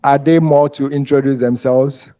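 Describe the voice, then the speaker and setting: A man with a Ghanaian English accent lecturing a group of exam candidates, unhurried and conversational, pausing often with ums. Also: mid-range voice, steady tone, dark tone, no room sound, studio quality.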